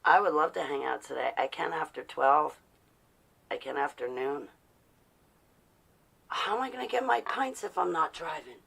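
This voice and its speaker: An American woman in her forties speaks off the cuff in a slightly low voice. Her talk is casual and rambling, with a blunt, defiant manner and frequent rhetorical questions. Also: expressive, normal speed, vocal fry, long pauses, fairly bright tone, breathy edge. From New Jersey, United States. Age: 40s. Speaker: vlogger.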